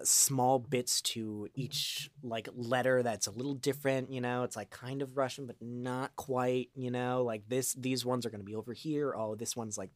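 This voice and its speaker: A man's voice: mid-range voice, no room sound. A man giving a casual interview as a voice actor, talking in a hesitant, rambling way and drifting into asides. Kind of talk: casual, unscripted interview chat.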